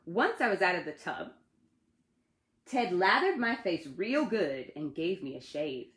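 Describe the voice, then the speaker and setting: Woman, children's read-aloud, lively pitch swings, dramatic storytelling, echoey room. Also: unhurried. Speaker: children's author reading aloud for a library storytime. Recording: roomy, echoey sound.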